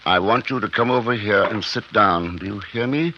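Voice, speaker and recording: An actor in a 1950s radio drama playing an obsessed scientist, speaking in intense, halting bursts, awed and fearful of what he has made. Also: on the low side, noisy background, close to an everyday voice. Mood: awed, agitated. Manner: intense, halting, talking to himself in short bursts. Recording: dull 1950s radio recording.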